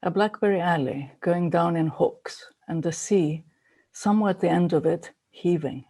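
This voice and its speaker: A woman's voice: lowish voice, moderate pace, warm tone. A woman giving a poetry recitation as a reader at a poetry event.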